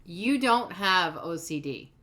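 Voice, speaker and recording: A woman hosting a reaction video, giving blunt, sarcastic commentary while pausing clips. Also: on the low side, expressive, a bit bright. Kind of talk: commentary over paused clips.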